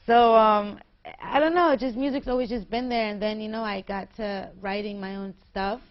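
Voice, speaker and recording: A woman's voice: medium pitch, fairly even intonation, moderate pace, dull audio. A woman in her twenties, a singer being interviewed, chatting in a bubbly way and laughing often. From New York. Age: 20s. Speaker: guest singer. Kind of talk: casual interview.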